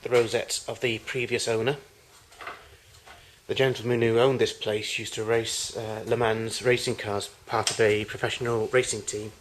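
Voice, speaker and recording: A man speaks in a low register with very little rise or fall in pitch. He gives an unscripted commentary, like a collector walking viewers through his things at home. The amateur recording carries a light layer of noise. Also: level tone, moderate pace. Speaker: collector giving a tour of his machines. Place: home garage with loft. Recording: noisy amateur camcorder audio.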